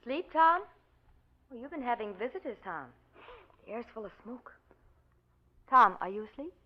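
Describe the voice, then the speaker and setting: A woman's voice: on the high side, animated, normal speed. A woman acting a cheery hospital nurse who coaxes and fusses over her patient in an old radio drama. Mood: gentle, concerned. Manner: sing-song, coaxing, talks down fondly. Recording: muffled old radio recording.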